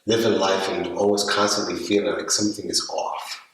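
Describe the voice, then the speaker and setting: A male podcast host asking questions in an interview, supportive and gently probing. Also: deep voice, steady tone, brisk. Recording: echoey room, far from mic, faint noise.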